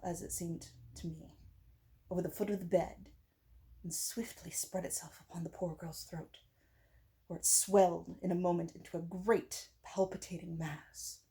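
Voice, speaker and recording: A woman reading a gothic story aloud as dramatic narration, with pauses and phrases that drop to a hush. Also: fairly low pitch. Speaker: audiobook reader.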